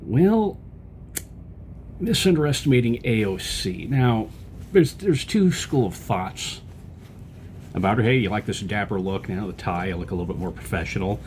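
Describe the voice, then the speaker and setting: A man's voice: lowish voice, expressive, normal speed, a little mellow, slight background noise. A man hosting his own podcast, delivering a loose political commentary monologue full of fillers and restarts. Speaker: podcast host.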